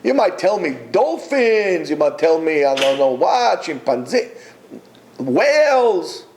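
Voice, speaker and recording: Man, yeshiva teacher, fairly high voice, lively pitch, slow emphatic delivery. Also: a little hiss or hum. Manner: emphatic, exhorting, with many pauses. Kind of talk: Torah lecture to students.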